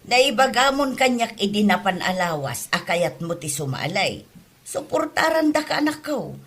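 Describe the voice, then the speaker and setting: A woman playing a supportive, caring mother in an Ilocano comedy drama, with a husky voice. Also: medium pitch, sing-song, moderate pace, fairly bright tone. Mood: tender.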